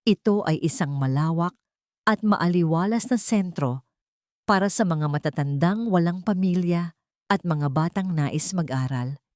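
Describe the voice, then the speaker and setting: Filipino lady narrating a drama audio story, kinda low voice, really dry close sound, earnest and sentimental. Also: a little mellow, dry recording.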